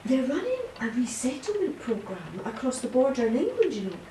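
A woman's voice: high-pitched, huge pitch swings, fairly quick, dark tone. Actress as a composed, persuasive woman, distant mic. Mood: solicitous, calculating. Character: composed, organised, civic-minded woman, quietly calculating. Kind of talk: audio play acting. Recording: roomy, distant, noisy background.

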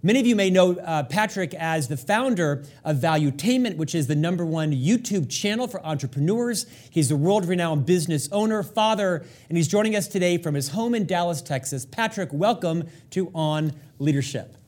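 Male interview host, effusive, asks long-winded questions, high-pitched. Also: expressive, normal speed, hardly any pauses, a little mellow. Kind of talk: interview hosting.

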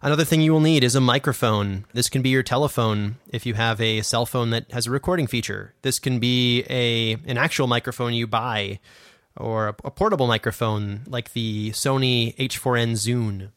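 A man's voice: medium pitch, fairly even intonation, normal speed, a bit bright, no room sound. A man hosting a podcast, explaining things in a casual, enthusiastic, self-deprecating way, close on a studio microphone. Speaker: podcast host and audio drama producer. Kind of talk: casual instructional podcast chat. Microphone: condenser studio microphone.

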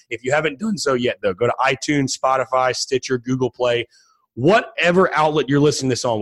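Fast-talking, slightly hoarse male podcast host. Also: dry recording. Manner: energetic, chatty, repeats himself while making pitches. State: hoarse, slightly raspy from allergies and yelling. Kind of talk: casual solo podcast talk.

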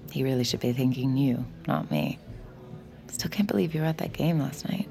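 An actress playing a flustered, warm-hearted working woman in naturalistic audio drama, speaking casually and haltingly. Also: a bass voice, gravelly, faint music behind. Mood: casual, relieved.

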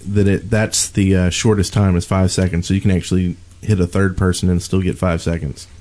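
A man's voice: low voice, flat delivery, a little fast, mellow. A man chatting casually as a co-host on a gaming podcast.

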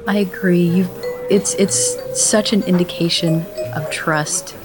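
A woman playing a sincere young girlfriend in a short comic audio drama, speaking softly. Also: on the low side, steady tone, unhurried, over music, natural voice. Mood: tender. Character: earnest, soft-spoken young girlfriend.

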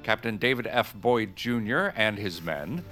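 An actor playing a middle-aged, pompous Secretary of State who is easily flustered, speaking formally in an audio drama. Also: male voice, on the low side, lively intonation, a little slow, bright, forceful tone, soft background music. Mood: formal, indignant. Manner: formal, emphatic.

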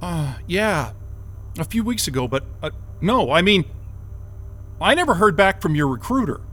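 An actor playing a groggy, disoriented man in an audio drama, stammering and halting as he tries to make sense of things. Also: sits high, sing-song, fairly bright tone, heavily acted. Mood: confused, uneasy. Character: bewildered, groggy male job candidate waking from amnesia.

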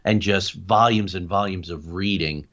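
A middle-aged man answering interview questions as a guest, explaining things in an animated, anecdotal way. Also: low-pitched, a little slow. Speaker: interview guest, paranormal investigator and writer. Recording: dull, lo-fi audio.

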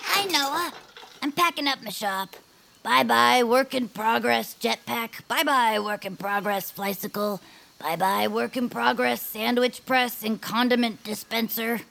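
Creaky, high-voiced young inventor girl. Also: unhurried, bright, forceful tone, a little hiss or hum, full character voice. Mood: resigned, dry. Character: spirited young female peepkin inventor, dreamy and headstrong. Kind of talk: audio fiction cartoon-like comedy acting.

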